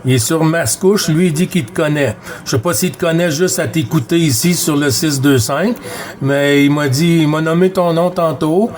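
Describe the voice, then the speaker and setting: A man's voice: on the high side, normal speed. A man with a Quebec French accent, chatting casually and hesitantly as a CB radio operator at home. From Quebec, Canada. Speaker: CB radio operator and video host. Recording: clearly noisy home radio-room recording.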